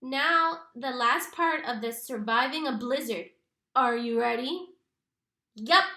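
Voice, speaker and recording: A woman's voice: on the high side, bright, forceful tone, roomy sound. A woman voicing a bossy, teasing teenage boy who turns to panicked pleading, read aloud in a storybook. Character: teenage boy, bossy older brother and prankster.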